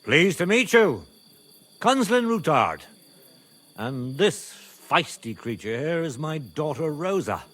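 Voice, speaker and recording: An actor playing a middle-aged medieval nobleman and father, speaking in a measured, wry and wary manner for a video game. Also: on the high side, big pitch swings, a bit bright, exaggerated voice. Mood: courteous, wry. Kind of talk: video game cutscene acting.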